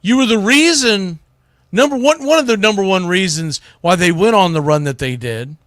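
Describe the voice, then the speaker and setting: A man with a high, very lively voice delivers sports commentary. His tone is bright and forceful, and he talks in a blunt, folksy, exasperated way, like a radio host on a rant. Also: normal speed, no echo, clean studio sound. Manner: exasperated ranting, blunt, mildly salty, folksy.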